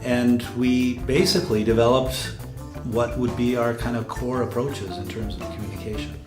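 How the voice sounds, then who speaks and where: A man giving an explanatory, documentary-interview style account of a production, pausing mid-sentence to find his words. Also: mid-range voice, fairly even intonation. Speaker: radio drama project lead explaining the production. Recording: roomy echo with loud music behind. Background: music with guitar.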